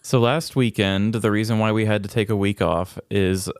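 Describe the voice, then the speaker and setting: A man banters casually as co-host of a comedy podcast. His voice is low, clean and resonant. It is recorded very dry, with no room sound to speak of. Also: fairly even intonation, moderate pace, smooth, no room sound.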